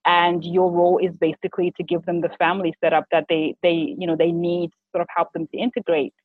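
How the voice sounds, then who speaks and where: A woman's voice: lowish voice, flat delivery, quick pace, slightly rough, no echo. A woman in her thirties from Zimbabwe, a PR and communications strategist, talking about her career in a conversational interview with many restarts and fillers. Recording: tinny phone-like line.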